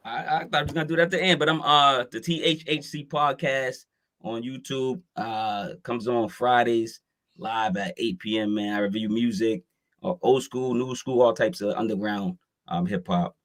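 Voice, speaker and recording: A man with a medium-pitched voice. He chats informally, as a podcaster sitting in on a casual show. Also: normal speed, a bit bright. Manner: informal, chatty. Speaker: guest co-host, hip hop podcaster. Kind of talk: casual chat.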